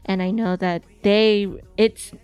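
A woman's voice: mid-range voice, fairly even intonation, slow pace, a little mellow, smooth. A woman chatting casually on a podcast, rambling with many fillers and restarts. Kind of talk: casual chat.

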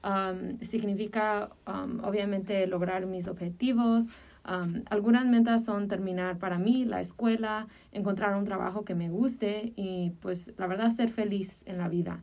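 A woman's voice: medium pitch, fairly even intonation, unhurried, creaky, darkish tone. A woman, co-hosting a casual podcast and talking in hesitant phrases full of fillers. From Chicago, United States. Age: early 20s. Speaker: podcast co-host. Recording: distant from the microphone.

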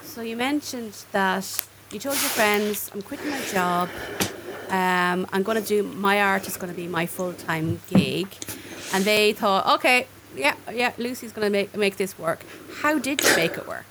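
Woman, interviewer, word-searching, clear background noise. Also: mid-range voice, normal speed. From Ireland. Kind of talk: conversational interview.

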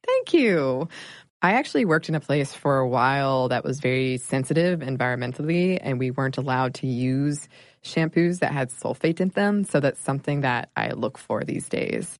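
Low voiced woman doing a chatty ad read, dry close sound. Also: expressive, moderate pace, no echo. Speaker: ad spokesperson. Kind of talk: scripted ad read.

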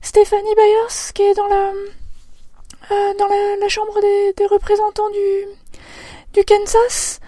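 Very high, flat-voiced woman. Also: takes it slowly, no room sound. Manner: hesitant, many fillers and restarts. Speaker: podcast co-host. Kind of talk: casual podcast discussion. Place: at home in a separate room during lockdown.

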